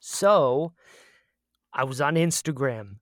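A man with a mid-pitched voice chats casually as a podcast co-host. He talks in a playful, joking way, and the recording is dry and close. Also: expressive, slow pace, fairly bright tone, no echo. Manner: jokey, playful. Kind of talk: casual chat.

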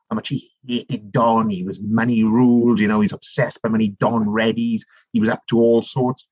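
A middle-aged man from South Wales with a Welsh accent, telling long animated stories as a podcast guest, full of restarts and asides. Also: mid-range voice, slightly rough, no room sound, highs cut off. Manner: animated storytelling, rambling with many restarts and "you know". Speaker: guest, author and politician. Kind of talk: conversational podcast interview.

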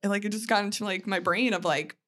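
A woman's voice: mid-range voice, fairly quick, a bit bright. A woman comedian chatting casually as a podcast guest, telling stories in loose, filler-heavy phrases.

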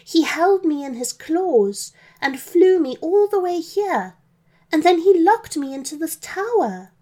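Storybook young girl, played by a woman: scared, pleading, very high voice. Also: very animated, measured pace, mellow, exaggerated voice. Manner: plaintive, pleading. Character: frightened, tearful young girl held prisoner. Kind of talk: children's storybook character voice.

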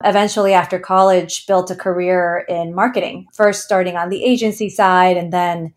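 A woman's voice: on the low side, fairly even intonation, normal speed. Earnest woman from Ecuador, laughing often. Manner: earnest, laughs often while telling her story. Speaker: founder guest. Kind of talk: interview conversation.

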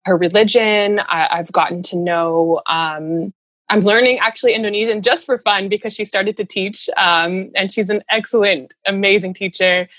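A woman's voice: medium pitch, steady tone, moderate pace, a bit bright, no echo. A woman speaking casually as an interview guest, earnest and rambling, in a giggly conversational way. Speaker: interview guest, runner and storyteller.

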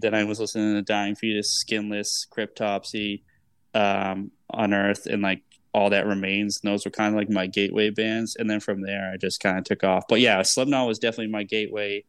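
Low-voiced man chatting, even and dry. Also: clear, resonant tone, no echo. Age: 30s. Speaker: podcast host. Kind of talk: casual podcast chat.

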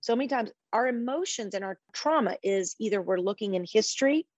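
A middle-aged woman speaks as a guest in a faith-based podcast interview, with a passionate, preacher-like delivery. Her voice sits a little high. The sound is dry and close, with no room around it. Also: animated, a little fast, darkish tone, dry recording, highs cut off. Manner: passionate, preacher-like, quotes scripture.

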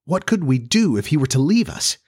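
Man voicing an earnest, bossy young girl, raised pitch. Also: big pitch swings, a little fast, a little mellow, husky, no room sound, studio quality. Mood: urgent. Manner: earnest, insistent, reproving.

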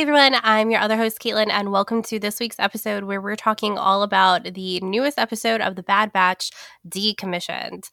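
Woman co-host, congested nasal voice, bright and chatty, close dry mic. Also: mid-range voice, gravelly, no echo. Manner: chatty, laughs often. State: stuffy, congested from a sinus infection. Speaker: podcast co-host. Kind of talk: casual fan podcast chat.

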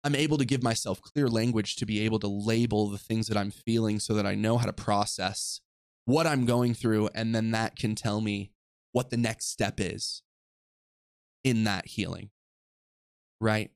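A man's voice: lowish voice, steady tone, normal speed, clear, resonant tone, dry recording, clean studio sound. A young adult man hosting a solo podcast, talking earnestly and rambling, often correcting himself mid-sentence. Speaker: podcast host. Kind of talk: reflective solo podcast monologue. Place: lives in the western United States.

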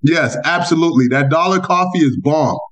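A man's voice: a little high, a little fast, a bit bright. A man hosting a casual podcast, bantering playfully with slang and telling stories about his guest. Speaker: podcast host.

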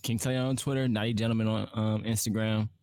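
A man's voice: lowish voice, steady tone, fast talker, darkish tone, no echo, clean studio sound. A man co-hosting a podcast, answering briefly in an easygoing way and laughing along. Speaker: podcast co-host. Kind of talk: casual podcast chat.

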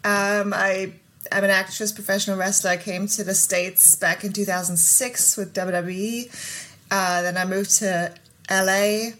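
A woman's voice: mid-range voice, level tone, unhurried, fairly bright tone, slight background noise. A woman who grew up in Germany, chatting as an interview guest in a friendly way with small laughs. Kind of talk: casual interview chat.

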